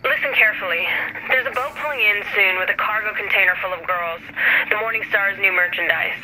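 A woman's voice: medium pitch, fairly quick, pushed and bright, a little hiss or hum. Piercing, commanding woman on phone. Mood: cold, businesslike. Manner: curt, businesslike. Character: cool, commanding female crime insider giving orders. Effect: phone call. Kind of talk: video game voice acting.

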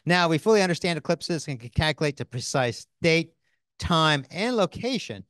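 A man's voice: a little high, expressive, fairly bright tone, studio quality. Upbeat older man reading a scripted town hall. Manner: upbeat, reads scripted lines with quick affirmations. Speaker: co-host. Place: studio set in front of a screen. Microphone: handheld mic.

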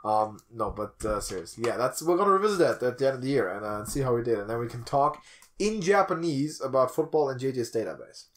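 A man's voice: mid-range voice, expressive, quick pace. Young man, podcast host, mid-range voice, casual, teasing chat, small indoor room. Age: young adult.

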